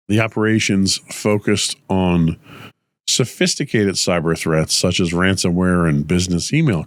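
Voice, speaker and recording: A man hosting a tech news podcast, delivering measured, explanatory commentary with a voice slightly rough from a cold. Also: deep voice, no room sound, studio quality. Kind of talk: news commentary, partly read from script.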